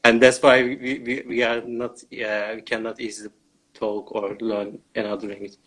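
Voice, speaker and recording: A man's voice: on the low side, little pitch movement, normal speed, a bit bright, poor audio. A man with a Turkish accent hosts a casual interview in non-native English, often restarting his sentences. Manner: broken English, many restarts. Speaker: host.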